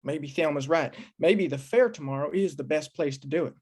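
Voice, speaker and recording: A man's voice: fairly high pitch, normal speed, a little mellow, husky. A man playing a fretful, henpecked small-town husband in an old-time western radio drama, hesitant, earnest and complaining. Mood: fretful, pleading.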